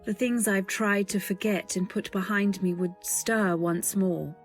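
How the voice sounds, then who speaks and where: A woman plays a timid young heroine telling her story in a radio play. Her voice is mid-pitched, measured and dreamy, meekly polite, with faint music underneath. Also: fairly even intonation, lightly acted. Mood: wistful, haunted. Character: timid, wistful young woman, a lady's companion. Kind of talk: old-time radio drama, first-person narration.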